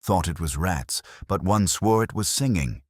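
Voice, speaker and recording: A confiding history don acted by a male narrator murmurs gossip in an extremely deep voice. The delivery is sly and husky, suited to a detective audio drama. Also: animated, measured pace, no room sound, studio quality.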